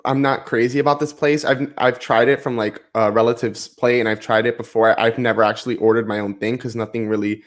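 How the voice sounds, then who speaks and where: A man's voice: mid-range voice, little pitch movement, fairly quick, hardly any pauses. A man of Cuban descent from South Florida, chatting casually and giving frank, opinionated takes on food. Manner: frank, opinionated, self-deprecating. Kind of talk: casual panel chat.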